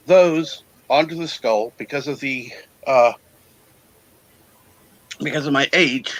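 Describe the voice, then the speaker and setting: A man in his fifties talking as a guest on a call. He speaks hesitantly, with many pauses and fillers. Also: fairly high pitch, fairly bright tone, no echo, rough recording. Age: 50s. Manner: slow and hesitant, lots of fillers. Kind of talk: casual chat.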